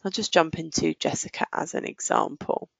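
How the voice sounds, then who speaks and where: A woman's voice: low-pitched, moderate pace, gravelly, slightly rough, no echo. A woman giving a live product demonstration, explaining each step in an informal business tone. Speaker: software demonstrator. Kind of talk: live product demo walkthrough.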